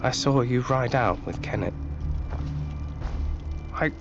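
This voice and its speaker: Man acting gentle, halting, slow-spoken peacemaker. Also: medium pitch, close to an everyday voice. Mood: apologetic, hesitant. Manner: halting, conciliatory. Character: apologetic, gentle, hesitant brother of a surly man. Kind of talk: video game cutscene acting. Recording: dull muffled audio with music behind.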